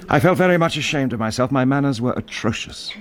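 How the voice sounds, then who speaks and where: An actor playing a brooding, brusque middle-aged widowed gentleman in a radio drama, with a clipped, commanding, well-bred manner. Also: medium pitch, animated, quick pace, close to an everyday voice. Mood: courteous, contrite.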